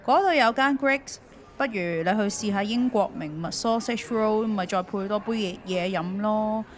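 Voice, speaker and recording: A woman playing a blunt, no-nonsense wife in an audio drama, with a Hong Kong Cantonese accent and a brisk, bossy manner. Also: medium pitch, lightly acted. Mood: brisk, exasperated. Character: blunt, no-nonsense wife who teases her husband. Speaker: supporting actor in an audio drama. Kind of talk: conversational audio drama dialogue.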